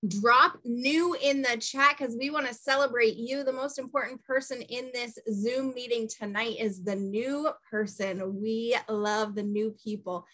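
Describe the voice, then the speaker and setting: A woman's voice: a little high, fairly even intonation, unhurried, few pauses, bright, forceful tone, poor audio. A woman in her thirties with a Boston-area New England accent, hosting a training webinar on a video call in an upbeat, encouraging way. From New Hampshire, US. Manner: peppy, enthusiastic, encouraging. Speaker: host and coach. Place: small room indoors. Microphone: webcam on a video call.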